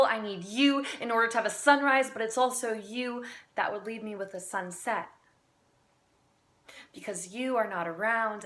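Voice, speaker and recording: High-voiced woman reciting slam poetry. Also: fairly bright tone. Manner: deliberate phrasing with dramatic pauses, earnest. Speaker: amateur slam poet.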